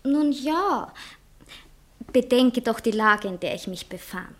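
An actress playing a self-important young divorced poetess in a satirical stage comedy, speaking theatrically and earnestly. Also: female voice, on the high side, expressive, takes it slowly. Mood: self-pitying, earnest. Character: self-dramatizing young divorced poetess defending herself to her fiancé. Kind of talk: stage comedy acting in a radio play manner.

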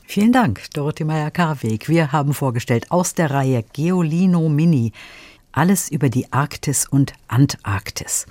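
A woman's voice: low voice, expressive, normal speed, dark tone, dry recording. A woman hosting a radio review programme, chatting with a critic and reading credits. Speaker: radio host. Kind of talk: review conversation and scripted sign-off.

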